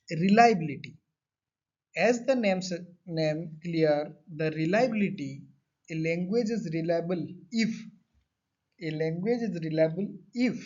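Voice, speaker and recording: A man with a high voice delivers a lecture and restates each point to make it land. He builds his explanations around everyday examples. The recording sounds dull and lo-fi. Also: a little mellow. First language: not Hindi. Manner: repeats and rephrases points. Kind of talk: classroom lecture. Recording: dull lo-fi audio.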